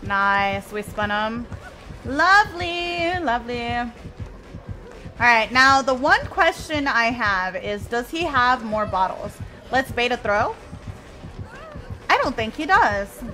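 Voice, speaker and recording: Bright, chatty woman streamer. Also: on the high side, expressive, slow pace, long pauses, faint music behind. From Mexico. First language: Spanish. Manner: chatty, reads and answers chat while playing. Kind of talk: live gameplay commentary.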